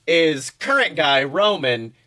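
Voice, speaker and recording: A young man with a bright, forceful voice that sits a little high. He chats casually and comedically as a podcast co-host, animated and slangy, swearing freely and delivering silly points with mock seriousness. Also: takes it slowly. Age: young adult.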